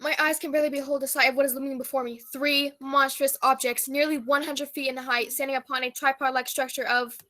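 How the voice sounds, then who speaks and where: Bright, grandiose actress as a lady war correspondent. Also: high voice, flat delivery, fast, few pauses. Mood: awed, urgent. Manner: pompous, florid, dramatic. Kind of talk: old-time radio news report parody.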